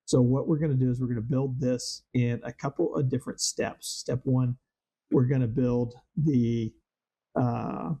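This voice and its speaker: Slightly hoarse man, mellow dark voice, casual game commentary, thinks aloud, many ums and uhs. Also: medium pitch, fairly even intonation, a little slow. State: slightly hoarse, voice worn from weather change. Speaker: Minecraft server let's-play host.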